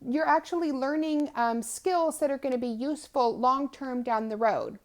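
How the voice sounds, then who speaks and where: A woman's voice: high voice, moderate pace. A woman in her thirties giving an informal, explanatory testimonial as a homeschooling mother, talking in a conversational way. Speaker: homeschooling mother presenting a language program.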